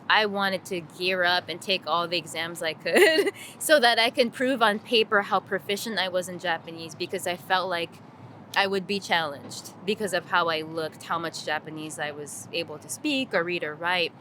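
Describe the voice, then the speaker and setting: A woman speaks earnestly and reflectively in an interview, her voice bright and forward. Her pitch sits in an ordinary middle range. A faint rumble sits behind her. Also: moderate pace.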